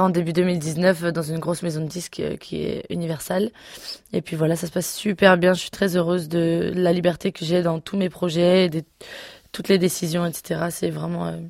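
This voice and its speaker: A woman in her twenties, a singer answering interview questions casually, pausing often with fillers and restarts. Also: lowish voice, no room sound, clean studio sound. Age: 20s. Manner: hesitant, many fillers and restarts. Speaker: singer guest.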